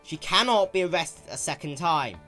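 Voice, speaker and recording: Performer doing a forceful, formal police stallion. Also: mid-range voice, very animated, soft background music, pitched above the performer's own voice. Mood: strained patience. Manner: formal, firm, measured officialese. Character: stern, professional male police stallion losing patience.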